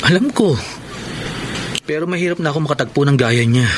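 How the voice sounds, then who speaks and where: A man acting a heartbroken, lovelorn man in a Tagalog radio romance drama, speaking earnestly and sentimentally with some halting. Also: on the high side, noisy background, natural voice. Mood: downcast. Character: heartbroken lovelorn man pining for his former girlfriend.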